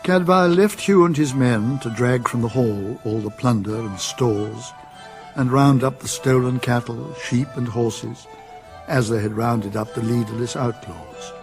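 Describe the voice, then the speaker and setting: A British man narrates a period radio drama as a literary storyteller. His delivery is slow and deliberate, with a gravelly edge. Music plays faintly under his voice. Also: medium pitch.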